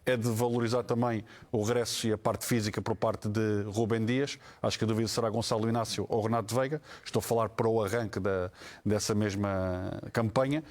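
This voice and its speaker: Quick, analytical Portuguese football pundit. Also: male voice, fairly low pitch, steady tone, darkish tone. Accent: European Portuguese. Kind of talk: analytical panel commentary.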